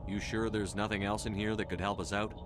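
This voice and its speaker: A man playing a stern, commanding soldier in a video game, questioning people briskly and firmly, with music behind him. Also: lowish voice, little pitch movement, lightly acted. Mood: firm, demanding. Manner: brisk, firm, interrogating. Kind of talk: video game dialogue acting.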